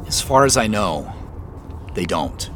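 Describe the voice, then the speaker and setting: A man's voice: fairly low pitch, very animated, takes it slowly, fairly bright tone, a little hiss or hum, full character voice. An actor playing a troubled, guarded man in an audio drama, reflective and earnest as he talks. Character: troubled, guarded man confiding in his sister and narrating. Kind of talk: audio drama acting and first-person narration.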